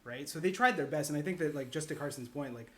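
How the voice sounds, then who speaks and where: A man's voice: a little high, quick pace, a bit bright. A man on a podcast panel giving opinions casually, rambling and checking in with the others as he goes. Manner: rambling, peppered with "like" and "right?".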